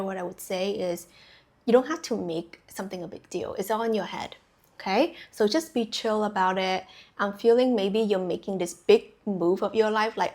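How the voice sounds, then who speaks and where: Chatty woman from Vietnam, spiritual coach. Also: medium pitch. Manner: chatty, many fillers and restarts, laughs easily. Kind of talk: casual intuitive reading to camera.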